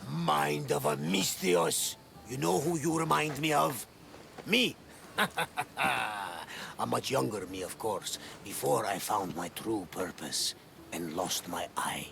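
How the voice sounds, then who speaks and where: A weathered one-eyed sea captain for a game cutscene. His voice is very gravelly and forceful, and he talks slowly with theatrical, hearty relish and wry humor. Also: medium pitch, slight background noise, full character voice. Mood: wry, grateful. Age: middle-aged. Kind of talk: video game cutscene acting.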